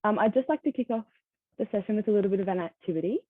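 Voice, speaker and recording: A seventeen-year-old woman giving a conference talk over a video call, soft-spoken with many ums and light laughs. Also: medium pitch, racing, dry recording. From Australia. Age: teens. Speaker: conference speaker. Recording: tinny phone-like line, dry.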